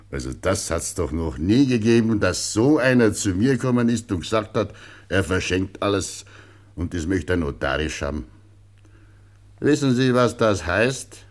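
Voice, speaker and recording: An actor playing a well-meaning, cautioning village notary in a German radio play, with an expressive, admonishing manner. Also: male voice, fairly low pitch, measured pace. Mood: incredulous, admonishing.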